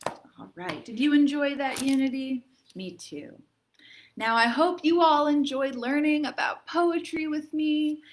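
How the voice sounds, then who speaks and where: A woman hosting a children's storytime, reading poems aloud and chatting in an expressive, encouraging manner. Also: high voice, unhurried, slight background noise. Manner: expressive, encouraging, addressing young listeners. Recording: echoey, roomy sound.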